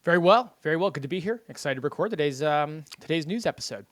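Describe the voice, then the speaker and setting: An upbeat male co-host with a somewhat higher voice, talking right up close to a boom microphone. The sound is dry, with almost no room around it. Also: lively intonation, quick pace, fairly bright tone, dry recording. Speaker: podcast co-host. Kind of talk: casual podcast chat. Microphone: new boom mic close to the face.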